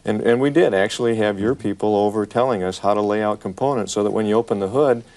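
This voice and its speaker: A man's voice: on the low side, quick pace, darkish tone. An American man speaking as an engineer in a panel discussion, explaining his work with emphatic repetition. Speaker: truck engineer. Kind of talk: panel discussion about product development.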